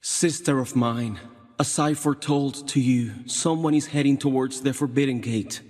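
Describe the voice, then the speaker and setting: An actor playing a resolute, devout warrior in a fantasy game, with a husky voice and a grave, portentous manner. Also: male voice, medium pitch, moderate pace, a little mellow, faint music behind, natural voice. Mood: foreboding. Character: resolute, devout male warrior addressing his sister. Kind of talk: scripted fantasy game dialogue. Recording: long reverb.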